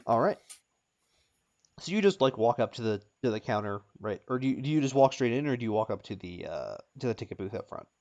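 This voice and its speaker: A man's voice: mid-range voice, animated, fairly quick, darkish tone, muffled recording. A man improvising narration as a tabletop game master, often searching for words and repeating phrases as he describes things.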